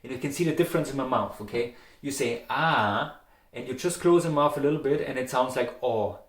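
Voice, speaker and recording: A man's voice: medium pitch, a little fast, reverberant. A man from Austria with an Austrian German accent, teaching pronunciation in a patient, demonstrative way and often checking in with "okay?". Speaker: dialect teacher. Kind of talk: pronunciation lesson.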